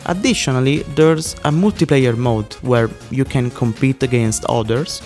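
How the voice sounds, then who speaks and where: A man from Italy with an Italian accent, reading a scripted video narration at a quick pace. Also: mid-range voice, a little mellow, faint music behind. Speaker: YouTube narrator.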